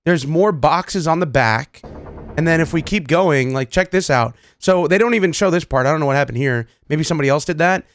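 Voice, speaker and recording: A man hosting a reaction video, talking casually to viewers with excited, repeated exclamations. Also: a little high, brisk, slight background noise. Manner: casual, repetitive exclamations, addresses viewers familiarly. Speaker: video host reacting to game clips.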